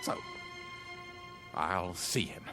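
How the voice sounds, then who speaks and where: An actor playing a proud, nervous young British World War One pilot in a radio drama, with clipped, formal, defensive speech and a British accent. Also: male voice, low voice, animated, extremely slow, sharp tone, soft background music, exaggerated voice.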